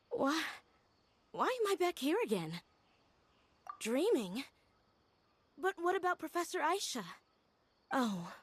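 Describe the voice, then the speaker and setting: High-voiced, wistful young scholar. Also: female voice, heavily acted. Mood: groggy, wistful. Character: earnest young woman researcher, groggy and wistful. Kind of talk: video game voice acting. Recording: studio voice over background music. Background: game music.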